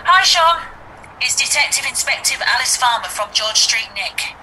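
An actress playing a concerned, persistent detective inspector in an audio drama, coaxing and questioning in a measured way. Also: female voice, high voice, lively intonation, sharp tone, slight background noise, exaggerated voice, poor audio. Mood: concerned, official.

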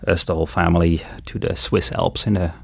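A middle-aged man with a Dutch accent, giving measured, explanatory interview answers with some hesitations. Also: sits low, steady tone, vocal fry, husky, no room sound, studio quality. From Netherlands. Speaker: guest road ecologist.

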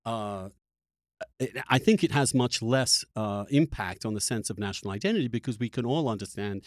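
A man hosting a conversational interview, thinking aloud in a halting, opinionated way. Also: fairly low pitch, animated, no echo, clean studio sound. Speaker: interviewer, podcast host.

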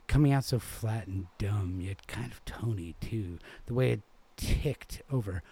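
A man from New England narrates literary fiction. His voice is low and dark, with a husky grain, and he reads expressively. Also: a little slow. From New England, United States. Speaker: reader.

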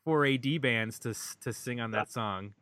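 An American man talks through music in a casual podcast style, in a middling pitch. He is reflective and halting, working out his thoughts as he goes, and the sound is dry with very little room. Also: fairly bright tone, no echo. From United States. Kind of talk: casual album discussion.

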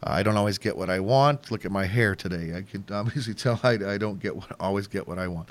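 A man's voice: low voice, fast. A man hosting a podcast interview, chatty and joking, rambling with frequent restarts. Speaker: podcast host. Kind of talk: casual interview chat.

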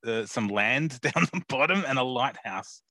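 Male co-host, halting, easy laugh, dry close mic. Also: medium pitch, lively intonation, sharp tone, dry recording. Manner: hesitant, lots of ums, laughs easily. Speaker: podcast co-host. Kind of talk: casual chat describing photos.

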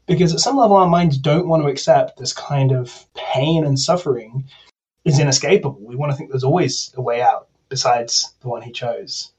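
A man's voice: medium pitch, moderate pace, a little mellow, slightly rough, low-quality recording. A man on a podcast panel, discussing a book in an articulate, academic way.

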